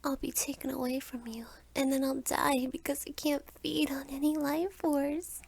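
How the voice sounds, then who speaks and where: Woman acting a bratty vampire girl, high-pitched. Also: little pitch movement, moderate pace, creaky, darkish tone. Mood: menacing. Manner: taunting, flippant. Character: bratty young succubus vampire girl, teasing and menacing. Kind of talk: anime-style ASMR roleplay.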